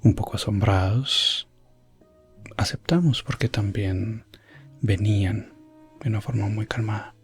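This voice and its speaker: A man playing a calm, courteous elf prince adventurer in a soft, gentle ASMR fantasy roleplay, slipping in and out of whispers. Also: fairly low pitch, a little slow, pauses often, slightly rough, faint music behind, exaggerated voice. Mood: calm, recounting. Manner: hushed, gentle, drifting in and out of whisper.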